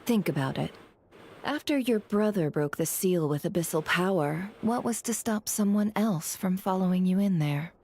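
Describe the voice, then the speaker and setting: An actress playing a shrewd, composed woman informant in a video game, deliberate and teasing as she probes. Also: on the low side, expressive, a little mellow. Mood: knowing, probing.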